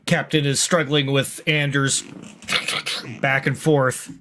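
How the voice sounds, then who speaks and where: A man's voice: mid-range voice, level tone, slow, bright, forceful tone, slight background noise. A man playing a tabletop game, narrating his character in third person and speaking some lines in role. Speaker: tabletop roleplay player.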